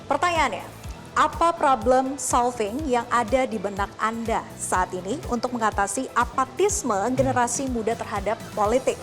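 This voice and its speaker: A woman hosting a television talk show in a bright, high voice. She keeps things upbeat and moves the guests along with quick prompting questions. Music plays clearly underneath her.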